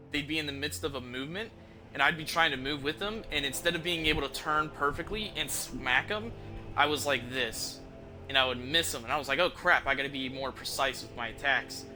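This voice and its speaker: A man's voice: fairly high pitch, bright, forceful tone. A man hosting a game livestream, chatting to viewers in an informal, rambling, explanatory way without a script. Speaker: livestream host, gamer. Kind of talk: casual unscripted chat to stream viewers. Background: music behind the voice.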